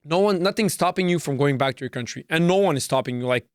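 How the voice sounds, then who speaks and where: A man's voice: on the high side, expressive, fast talker, dry recording, clean studio sound. A man from Canada whose first language is Serbo-Croatian hosts a solo podcast, delivering a profane, repetitive and emphatic rant. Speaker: podcast host.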